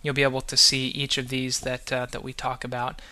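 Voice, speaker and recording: A man's voice: mid-range voice, completely flat delivery, brisk, pushed and bright. A man hosting a podcast, talking casually and loosely, with many restarts and fillers. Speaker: podcast host.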